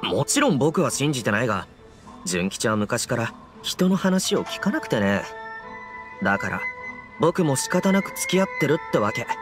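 Man playing an exasperated editor, expressive voice. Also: medium pitch, normal speed, natural voice. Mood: resigned. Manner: exasperated, reproving, articulate. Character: weary, sceptical male book editor, reluctantly helpful. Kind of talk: Japanese anime game voice acting. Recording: background music under the voice.

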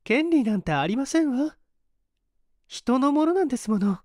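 A refined young working woman in a mystery story , played by a male reader. The voice is raised high, ladylike and reserved, proud yet careworn, in a dry close recording. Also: big pitch swings, normal speed, a little mellow, no echo, exaggerated voice. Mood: firm refusal. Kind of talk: dramatized audiobook reading.

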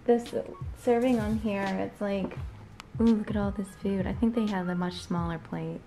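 A woman's voice: mid-range voice, steady tone, moderate pace, mellow, faint music behind. A woman in her thirties chatting casually while cooking in her home kitchen, recorded on a phone, laughing often. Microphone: phone camera.

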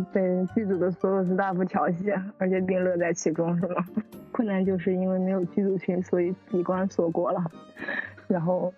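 A woman chatting casually in a group, often repeating her first words in a slight stammer. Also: fairly low pitch. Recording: dull lo-fi voice chat with music.

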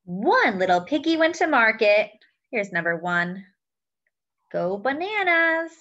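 Young woman, children's storytime reader, sing-song and bright, slightly muffled home webcam sound. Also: fairly high pitch, big pitch swings. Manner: cheerful, slow and sing-song, counting aloud. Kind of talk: reading a picture book aloud to children. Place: small room at home, indoors.

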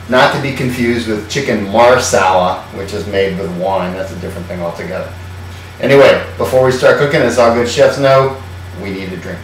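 A man's voice: medium pitch, recorded from a distance, slight background noise. Sardonic man in an echoey kitchen. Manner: sardonic, irreverent, swears casually. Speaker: host. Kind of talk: comedic home cooking show. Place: home kitchen.

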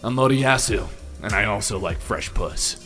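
A man's voice: on the low side, lively intonation, normal speed. Man playing a brash young hero, echoing. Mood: eager, pushy. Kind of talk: anime parody dub. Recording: roomy, distant, under loud music.

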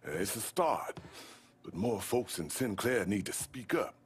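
An actor playing a measured, middle-aged male community organizer in a video game cutscene. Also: lively intonation, exaggerated voice. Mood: grave, measured. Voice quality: husky, low.

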